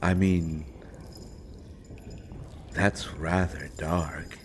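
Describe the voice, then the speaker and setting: A menacing male assassin from an audio roleplay, acted by a man, speaks in a very deep voice at a slow, deliberate pace. He is brooding and sardonic, leaving dramatic pauses as he mocks. Faint ambient noise sits behind the voice. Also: steady tone, exaggerated voice. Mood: grim, probing.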